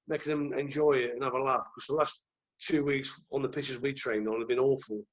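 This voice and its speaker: Croaky man, muffled audio. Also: mid-range voice, fairly even intonation, brisk. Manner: rambling, restarts often. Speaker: guest, former goalkeeper. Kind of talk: relaxed anecdotal chat.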